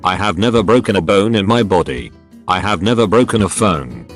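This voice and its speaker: A man's voice: low-pitched, expressive, brisk. A man narrating short online stories in a scripted, recited read-aloud style. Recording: music behind the voice. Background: background music.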